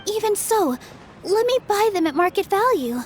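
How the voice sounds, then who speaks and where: An actress playing a kind, polite, gentle young woman of the theatre in an English anime game dub. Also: extremely high pitch, very animated, moderate pace, a little hiss or hum. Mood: flustered, insistent.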